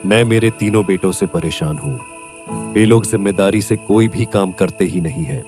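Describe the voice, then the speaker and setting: A man playing a worried older farmer and father in a Hindi cartoon story, speaking earnestly and in measured phrases. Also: lowish voice, over music, lightly acted. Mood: troubled. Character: worried old farmer, father of three lazy sons.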